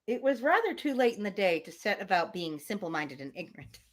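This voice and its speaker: Lively-voiced woman reading aloud. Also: medium pitch, fairly quick. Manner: chatty, self-interrupting asides to listeners. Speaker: livestream host reading a novel aloud. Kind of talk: live read-aloud of a classic novel with casual asides.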